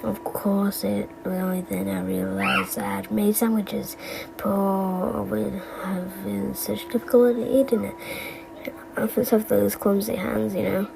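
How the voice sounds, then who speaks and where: A woman voicing an absent-minded animatronic bear from a game, who tells stories in a halting, stammering, deadpan way and wanders off the point. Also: medium pitch, creaky, background noise. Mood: deadpan, rueful. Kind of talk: fan recital of game voice lines.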